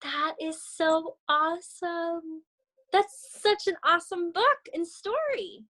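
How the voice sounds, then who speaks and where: A woman's voice: very high voice, slow pace, bright, forceful tone, low-quality recording. A young woman teaching and reading aloud to children over a video call. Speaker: teacher and story reader. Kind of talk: children's read-aloud and lesson. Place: indoor room on a video call.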